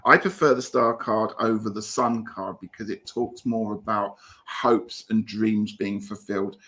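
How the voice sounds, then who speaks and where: A man with a British accent giving gentle, reassuring tarot readings over a video call. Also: on the low side, steady tone, unhurried. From Britain. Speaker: guest tarot reader. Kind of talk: casual livestream tarot reading.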